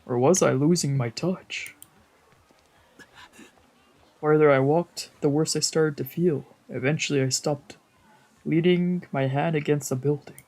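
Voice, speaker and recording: A woman's voice: deep voice, steady tone, measured pace, long pauses, a little mellow. A woman VTuber streamer reading visual novel narration aloud in a dramatic storytelling style.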